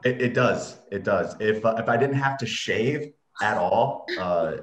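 Deep-voiced young man, echoey room. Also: animated, unhurried, muffled recording. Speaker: guest. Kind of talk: casual chat. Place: small bare room indoors. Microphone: webcam video call. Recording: echoey room on video call.

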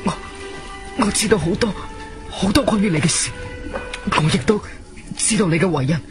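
An actor playing a frightened, timid young man with a Cantonese accent, pleading in halting, repeated phrases in a radio drama. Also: high voice, music in the background, natural voice. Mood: nervous, halting.

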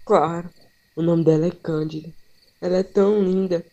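An actor playing a lovesick, impulsive young boy, hushed and earnest, in an amateur radio soap opera. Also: medium pitch, fairly even intonation, moderate pace, creaky, lo-fi sound, natural voice. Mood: dreamy.

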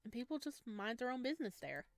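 Woman voicing a gentle, hesitant forest creature guy. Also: a little high, very animated, fast, no room sound. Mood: casual, teasing. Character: gentle, sheepish, lonely male forest creature, naive about humans. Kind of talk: visual novel read-aloud.

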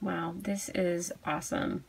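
A woman giving a casual unboxing review as a video host, cooing softly over cute things. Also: lowish voice, fairly even intonation, slow, gravelly, a little hiss or hum. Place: indoors at a tabletop.

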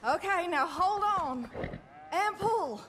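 A woman's voice: extremely high pitch, lively intonation, takes it slowly, noisy background, exaggerated voice. An actress playing a brusque, sardonic American ranch wife and mother in a western video game, blunt and bossy. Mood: brisk, sardonic. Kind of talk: naturalistic video game cutscene acting.

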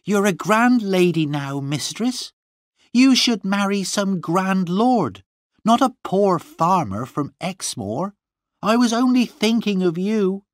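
A man's voice: high-pitched, lively intonation, takes it slowly, dry recording, clean studio sound, pitched above the performer's own voice. A man voicing a blunt, sullen but loyal young servant girl with a defiant manner, in a storybook reading. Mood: sullen defiance.

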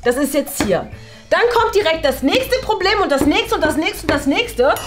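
A woman's voice: high-pitched, sing-song, moderate pace, music in the background. A woman acting a condescending, exasperated former headmistress in a comedy sketch, lecturing pointedly. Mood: agitated, exasperated. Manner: pointed, lecturing, ends phrases with "ne?". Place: small bright meeting room.

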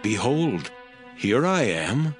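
A man playing a grave, devout old father in a dramatized scripture reading, slow and deliberate. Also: medium pitch, sing-song, soft background music.

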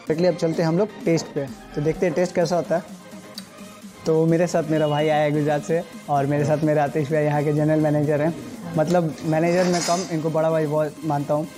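A man's voice: a little high, level tone, fairly quick. Chatty Bihari man reviewing food, music behind. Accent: Bihari Hindi. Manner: chatty, enthusiastic, lots of fillers. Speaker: food vlogger. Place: restaurant indoors. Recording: loud background music.

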